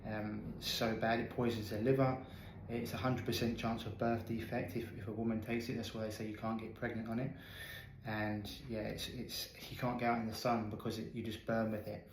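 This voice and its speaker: A man in his thirties tells his story earnestly and candidly, often restarting his sentences. His pitch stays level and unvaried. He sounds roomy and echoey over a faintly noisy call. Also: flat delivery, a little fast. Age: 30s. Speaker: health coach guest. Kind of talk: interview storytelling. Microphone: video call. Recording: echoey video call audio.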